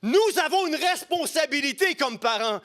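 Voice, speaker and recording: A man's voice: extremely high pitch, lively intonation, normal speed, fairly bright tone. A middle-aged man with a Quebec French accent preaching an impassioned sermon, emphatic and rhetorical, repeating key phrases. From Quebec, Canada.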